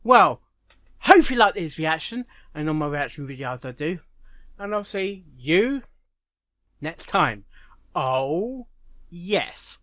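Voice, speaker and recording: A man thinking aloud as he reacts to a story, turning questions over and guessing as he goes. His voice sits a little high for a man. The sound is dry and close. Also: very animated, slow pace, long pauses, fairly bright tone, no room sound. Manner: musing, speculative, thinking aloud. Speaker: reaction video host. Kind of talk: unscripted reaction commentary.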